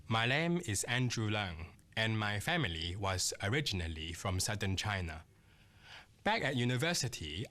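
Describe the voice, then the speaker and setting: Earnest measured man in his thirties. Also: medium pitch, fairly bright tone. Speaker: accountant job seeker. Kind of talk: scripted monologue to camera.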